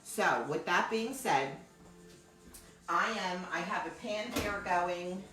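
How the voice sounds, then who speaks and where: A middle-aged woman with a loud, deep voice hosts a live cooking demo from her home kitchen, chatting and rambling as she thanks her viewers. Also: expressive, takes it slowly, soft background music. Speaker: cooking show host. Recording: echoey kitchen, voice from far off.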